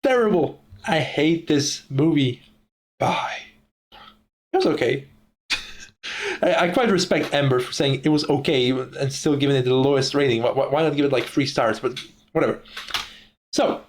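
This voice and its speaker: A man's voice: mid-range voice, animated, moderate pace, pauses often. A young man from the Czech Republic with a Czech accent, speaking English as a second language in a profane, ranting casual monologue. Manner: profane, ranting, laughs often. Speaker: YouTuber.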